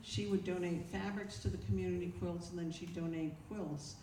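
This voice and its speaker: A woman's voice: fairly low pitch, fairly even intonation, fairly quick. A woman co-presenting at a club meeting, reminiscing informally in a room, picked up by a distant mic. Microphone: distant camera mic. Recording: far-off echoey room, clear background noise.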